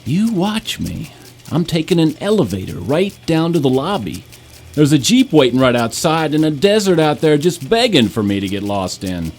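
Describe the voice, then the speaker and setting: A man's voice: fairly high pitch, very animated, normal speed. An actor playing a young male casino robber in an audio drama, with tough street talk. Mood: cocky, menacing. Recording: dramatic music behind. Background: music.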